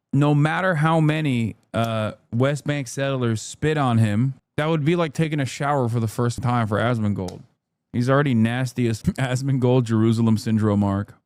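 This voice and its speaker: A man in his thirties hosting a live stream, speaking fast with sarcastic, mocking commentary. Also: medium pitch, a little mellow, clear, resonant tone, no room sound. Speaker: streamer host. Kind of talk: casual reaction-stream commentary.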